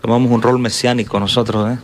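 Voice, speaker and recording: A man's voice: mid-range voice, flat delivery, fast talker, noisy background. A man hosting a conversational interview, echoing and affirming his guest. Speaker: interviewer, host.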